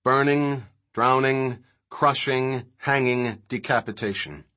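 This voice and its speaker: A man's voice: medium pitch, takes it slowly, pushed and bright. Man voicing an intense, lecturing male scientist. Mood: explanatory. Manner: emphatic, declamatory, rhetorical.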